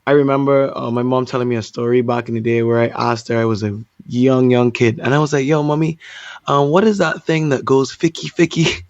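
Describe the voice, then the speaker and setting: A man from Barbados, a DJ guest in a casual interview, relaxed and quick to laugh. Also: medium pitch, dry recording, highs cut off. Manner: relaxed, laughs easily, loose fillers.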